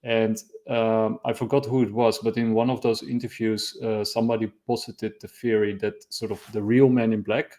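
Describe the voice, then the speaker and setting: Gravelly-voiced man hosting a chat. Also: lowish voice, little pitch movement, moderate pace, hardly any pauses, mellow. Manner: hesitant, stammering restarts. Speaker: host. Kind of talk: casual podcast chat.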